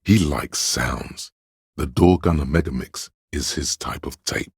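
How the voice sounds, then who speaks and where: Cavernous, gravelly, pretentious inner voice. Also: male voice, a little slow, clean studio sound, full character voice. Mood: arty enthusiasm. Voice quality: very deep, gravelly, husky. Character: male inner voice of conceptual, artistic thought, wry and pretentious. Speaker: game narrator. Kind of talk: theatrical game narration.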